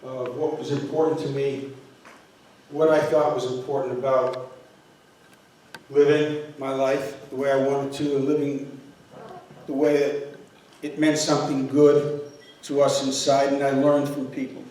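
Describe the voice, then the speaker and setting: An older man from northeastern Pennsylvania, Polish his first language, speaks to an audience in an earnest, halting way, full of fillers and restarts. His voice sits at a middle pitch. It comes from some distance away, in a hall with heavy echo and a faint hiss behind it. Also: little pitch movement, mellow. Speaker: university president candidate. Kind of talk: public talk to an audience. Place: university hall. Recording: echoey room, from far off.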